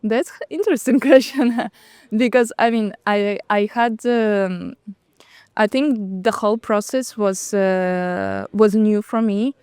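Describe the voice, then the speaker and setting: A woman's voice: medium pitch, slow pace, darkish tone, smooth, no room sound. A woman from Russia, whose first language is Russian, answering interview questions as a guest, speaking hesitantly with many fillers and restarts. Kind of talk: casual interview conversation. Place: outdoors on a bench at a city event.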